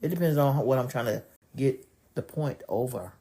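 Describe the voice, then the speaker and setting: Woman from new york, really low dark voice, laid-back co-host vibe. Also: normal speed. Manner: laid-back, slips into street slang. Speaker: podcast co-host. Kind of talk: casual chat.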